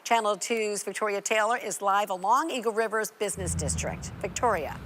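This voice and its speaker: A woman's voice: mid-range voice, a little fast, a bit bright. A woman with an American accent anchoring a live television news broadcast, handing off to reporters.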